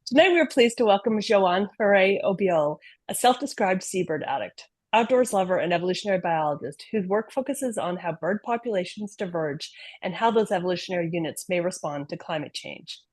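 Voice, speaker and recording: A woman's voice: lowish voice, expressive, brisk, darkish tone, no room sound. A woman as program chair, reading a friendly introduction for a guest speaker on a video call.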